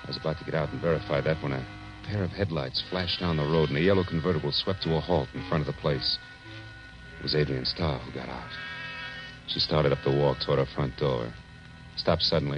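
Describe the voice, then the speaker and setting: An American actor playing a tough, wry private detective in a 1940s radio drama, with clipped, slangy, wisecracking delivery. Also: male voice, very deep voice, fairly quick, over music. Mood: matter-of-fact narrating. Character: tough wry male private detective narrating his case. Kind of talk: 1940s radio acting, hardboiled first-person narration. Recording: dull, noisy old radio recording.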